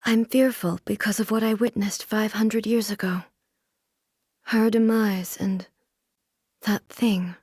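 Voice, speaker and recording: An actress voicing a solemn, aloof immortal shogun and swordswoman in a video game, with formal, measured, stately delivery. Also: medium pitch, steady tone, darkish tone, slightly rough, dry recording, studio quality. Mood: fearful, grave. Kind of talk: video game character voice lines.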